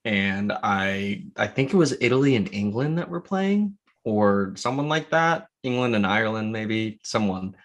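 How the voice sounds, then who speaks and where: Male, lowish pitch, podcast co-host, informal chat. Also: expressive, unhurried, few pauses. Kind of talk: casual chat.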